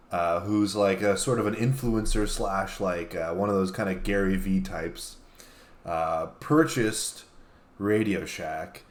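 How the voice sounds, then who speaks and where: A man co-hosting a show in casual conversation, speaking hesitantly with many pauses. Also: on the low side. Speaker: show co-host.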